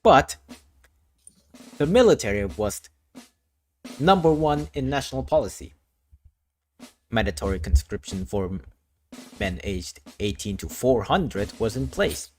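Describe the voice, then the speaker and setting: A man's voice: on the low side, lively intonation, unhurried, pauses often, faint music behind. A man from Thailand, a streamer explaining his worldbuilding lore in a casual, explanatory way, often restarting phrases.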